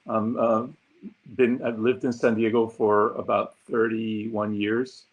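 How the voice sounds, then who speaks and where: A man in his sixties from Argentina, whose first language is Spanish, speaks as an interview guest from his home office. His voice is fairly low and a little husky. He talks thoughtfully, often pausing on ums and uhs. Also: level tone, low-quality recording. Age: 60s. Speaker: guest, consultant. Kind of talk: conversational interview answers.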